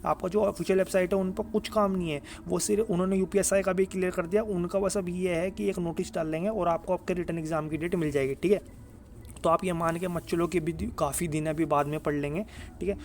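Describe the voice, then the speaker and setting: Higher-pitched man, noisy recording. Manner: earnest, repetitive, insistent, with frequent check-in tags. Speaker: exam guidance YouTuber. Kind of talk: informal advice talk to students. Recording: clear ambient background noise.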